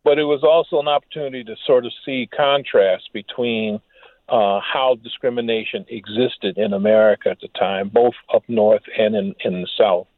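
A man speaking as an interview guest and historian, reflective and halting, with measured, formal wording. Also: on the low side, fairly even intonation, no room sound. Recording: muffled phone-like line.

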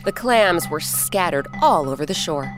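A woman hosting and narrating a children's story in an expressive, scripted style with dramatic pauses. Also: lowish voice. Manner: clear, animated, dramatic pauses. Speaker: host and narrator. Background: instrumental music.

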